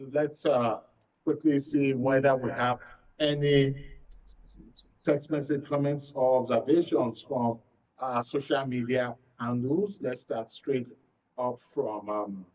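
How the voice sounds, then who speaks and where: A man's voice: mid-range voice, distant mic, highs cut off. A man with a Nigerian accent hosting a live call-in show, often repeating words as he goes. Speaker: radio call-in show host. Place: radio and TV studio.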